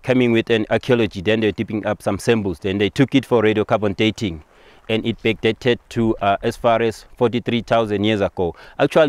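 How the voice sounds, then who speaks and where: A man's voice: lowish voice, a little mellow, no room sound. A man with a Southern African accent giving an informal guided tour, explaining things and repeating phrases as he goes.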